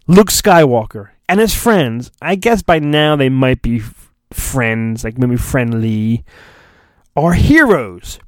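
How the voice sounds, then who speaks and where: Theatrical man with dramatically swooping pitch. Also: no echo. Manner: theatrical, jokey, breaks into deadpan asides. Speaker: podcast host. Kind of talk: mock-dramatic storybook reading with ad-libbed asides.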